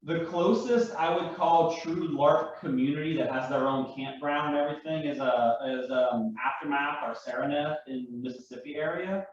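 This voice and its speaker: A man's voice: on the high side, moderate pace, hardly any pauses. An American man chatting casually on a distant microphone. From Louisiana, United States. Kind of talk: casual chat. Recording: very echoey, distant, dull, noisy mic.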